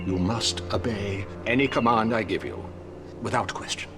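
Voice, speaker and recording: An actor playing an elderly, commanding wizard headmaster in a video game, speaking formally and solemnly. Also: male voice, fairly low pitch, moderate pace, over music, close to an everyday voice. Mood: grave, commanding. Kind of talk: dramatic video game acting. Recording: loud orchestral score behind the voice.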